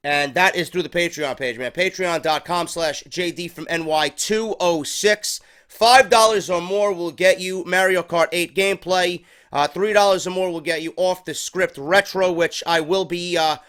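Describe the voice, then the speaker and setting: A man's voice: on the high side, fairly bright tone. Congested man hosting a wrestling review. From New York. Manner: profane, rambling, unscripted. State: congested head cold. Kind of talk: casual spoken show review.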